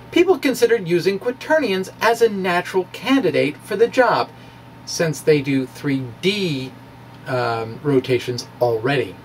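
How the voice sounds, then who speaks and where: A man giving an informal explanatory physics monologue in a halting, self-deprecating, conversational way. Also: a little high, distant mic, slight background noise, low-quality recording. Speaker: amateur physics video blogger.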